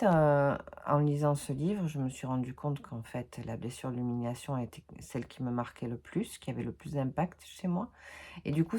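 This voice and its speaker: A woman in her forties with a French accent, talking slowly and thoughtfully to her viewers about self-awareness, with many pauses. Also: very deep voice, dark tone. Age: 40s. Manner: slow and thoughtful, repeats words, many "euh" pauses. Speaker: video host. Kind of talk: reflective personal development talk.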